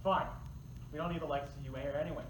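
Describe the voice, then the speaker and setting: A man's voice: on the high side, fairly even intonation, fast talker, close to an everyday voice. An actor in a staged play reading voicing a sinister, domineering serpent spirit who speaks like a stern, pompous father god. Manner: pompous, commanding. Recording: echoey room, distant mic, faint noise.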